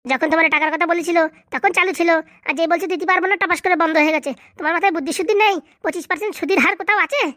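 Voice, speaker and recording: A woman voicing a sharp-tongued grown daughter in a comedy cartoon, sarcastic and nagging. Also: very high-pitched, fast, no room sound, clean studio sound. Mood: scolding, sarcastic.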